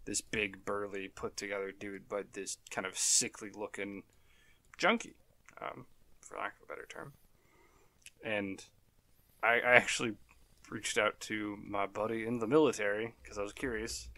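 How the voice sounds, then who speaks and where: A man's voice: on the low side, flat delivery, normal speed, sharp tone. A man co-hosting a casual podcast, sarcastic and swearing freely, hesitant with "um" as he explains his writing. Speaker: podcast co-host, writer.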